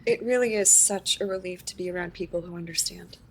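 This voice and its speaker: A woman's voice: lowish voice, a little fast, lightly acted. A woman acting in an audio drama as a curious, grateful newcomer to a support group, tentative and questioning. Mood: grateful, relieved. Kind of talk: conversational audio drama acting, live recording. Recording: live recording with faint background noise.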